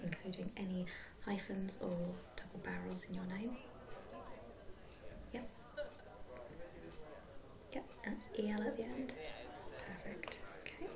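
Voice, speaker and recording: A woman acting as a helpful sports centre receptionist speaks in a soft, friendly whisper. The delivery is unhurried and gentle, in ASMR roleplay style. A clear murmur of people sits behind the voice. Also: mid-range voice, lightly acted. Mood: helpful, reassuring. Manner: soft-spoken, whispering, unhurried, hesitant fillers.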